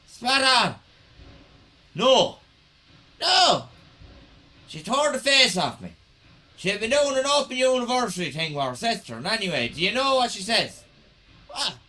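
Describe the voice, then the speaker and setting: Irish factory worker played by a male actor, high bright voice, sweary colloquial banter, comic radio play. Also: full character voice. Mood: indignant gossiping. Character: foul-mouthed, gossiping Irish factory worker, bantering absurdly.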